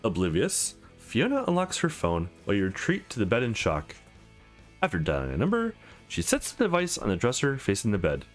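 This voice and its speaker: A man's voice: fairly low pitch, wildly swooping pitch, a little fast, faint music behind. A man hosting a let's play, mixing casual commentary with read-aloud visual novel narration.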